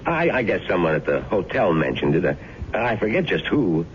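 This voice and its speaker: A charming young man with a fairly low, animated voice, polite, folksy and ingratiating. It is radio drama acting, captured on a muffled old broadcast recording. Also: normal speed, slight background noise. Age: young adult. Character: personable, charming young boarder, polite and eager.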